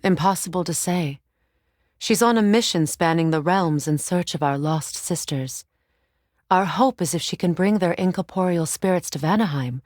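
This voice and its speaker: An actress playing a proud, mature Norse goddess in a video game, measured and formal in speech, wry and guarded. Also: female voice, lowish voice, dry recording, clean studio sound, natural voice. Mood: composed. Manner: measured, dignified, formal phrasing. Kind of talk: dramatic game dialogue and storytelling.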